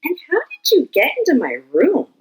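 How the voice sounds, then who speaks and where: A woman voicing an angry, upset young boy in a storybook, shouting and pleading. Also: sits high, wildly swooping pitch, normal speed, pitched above the performer's own voice. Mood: worried.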